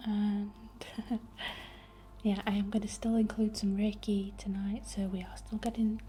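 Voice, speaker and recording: A young woman whispering breathily and gently as she guides an ASMR meditation in an informal, unhurried way. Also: medium pitch, little pitch movement, slight background noise. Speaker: ASMR host guiding a healing session.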